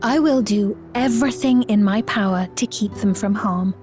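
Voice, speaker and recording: A woman playing a gracious, courtly queen in a video game, speaking formally and with measured composure. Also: mid-range voice, darkish tone, soft background music, lightly acted. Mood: composed, regal. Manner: formal, measured, regal diction. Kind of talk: scripted video game cutscene acting.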